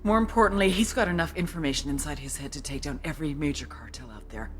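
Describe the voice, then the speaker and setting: Low-voiced, commanding woman agent. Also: fast talker, a bit bright, soft background music. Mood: determined, forceful. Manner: firm, blunt, authoritative. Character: hard-edged female intelligence agent, commanding and ruthless. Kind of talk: dramatic video game cutscene dialogue.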